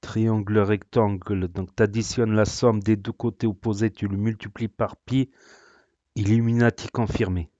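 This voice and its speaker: A man's voice: fairly low pitch, little pitch movement, measured pace, warm tone, dry recording, lo-fi sound. A man hosting a reaction video, sarcastic and rambling, with many hesitations. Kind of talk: casual reaction commentary.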